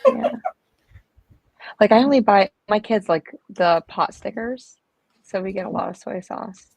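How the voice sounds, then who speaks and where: A middle-aged mother chatting easily as a guest on a sewing stream, relaxed and agreeable. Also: medium pitch, measured pace, creaky, no echo, low-quality recording. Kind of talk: casual chat.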